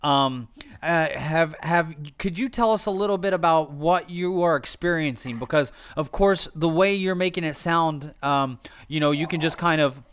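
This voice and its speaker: A man hosting a podcast, asking questions in an enthusiastic, halting way. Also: a little high, fairly bright tone, clear, resonant tone. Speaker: podcast host. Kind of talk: interviewing a guest.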